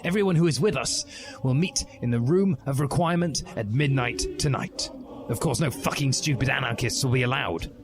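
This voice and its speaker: A man's voice: mid-range voice, moderate pace, lightly acted. A man voicing a zealous, angry, self-righteous communist schoolboy in a declamatory storybook reading. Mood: rousing, zealous. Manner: declamatory, indignant. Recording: music behind the voice.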